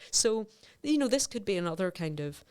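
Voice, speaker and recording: A woman's voice: medium pitch, big pitch swings, brisk, a little mellow, smooth. A middle-aged woman researcher, a podcast guest, talking things through thoughtfully with lots of fillers and restarts. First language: English. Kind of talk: conversational interview.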